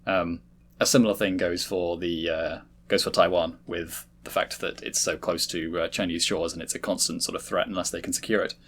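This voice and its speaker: Male panelist, low-pitched, conversational and thoughtful, pausing as he reasons. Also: flat delivery, a bit bright, slight background noise. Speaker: panel regular. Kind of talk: casual political discussion.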